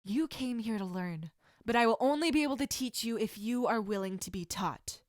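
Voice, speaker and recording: A woman's voice: on the high side, animated, moderate pace, no echo, studio quality, close to an everyday voice. A woman voicing a strict, regal martial arts instructor, speaking in a formal, commanding way. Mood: stern, formal.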